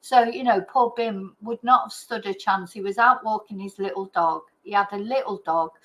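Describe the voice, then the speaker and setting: A woman's voice: medium pitch, normal speed, a bit bright, poor audio. A woman with an English accent giving her opinions in a casual, rambling and emphatic chat, as the host of her own show. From Britain. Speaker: true crime chat host.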